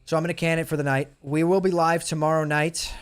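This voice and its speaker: A man's voice: fairly high pitch, little pitch movement, quick pace, smooth. A man in young adulthood, chatting casually with his live stream viewers as he loses his voice. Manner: laid-back, chatty, talks to chat. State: losing his voice.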